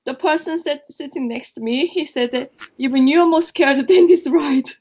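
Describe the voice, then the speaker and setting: A woman's voice: high voice, normal speed, a little mellow. A woman from Korea, co-hosting a casual podcast and telling stories with frequent laughs. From South Korea. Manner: chatty storyteller who laughs often. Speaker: podcast co-host. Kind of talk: casual chat. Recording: slightly dull lo-fi sound.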